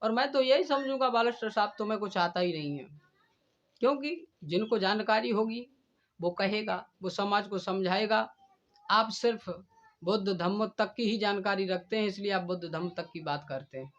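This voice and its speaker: A man delivering a stern, deliberate admonishing speech in a preachy manner. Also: high-pitched, a bit bright. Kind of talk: admonishing speech addressed to a rival. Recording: dull, lo-fi recording.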